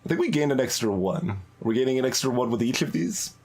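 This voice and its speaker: A streamer giving casual playthrough commentary, halting and musing aloud. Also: medium pitch, lively intonation, a little mellow. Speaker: video game streamer.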